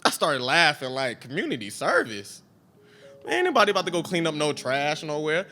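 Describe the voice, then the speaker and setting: Man performing an animated, high-pitched young street kid. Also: fairly quick. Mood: animated storytelling. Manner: slangy, emotional storytelling, acting out each speaker. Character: scared young street kid recounting a drive-by shooting. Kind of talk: dramatic spoken monologue on stage. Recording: piano music playing behind the voice.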